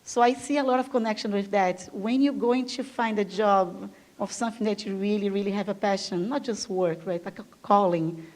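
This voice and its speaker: A woman's voice: medium pitch, normal speed, darkish tone. A woman from Brazil whose first language is Portuguese, giving an enthusiastic, chatty conference talk to a live audience, with frequent fillers. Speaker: guest speaker. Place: event room with live audience.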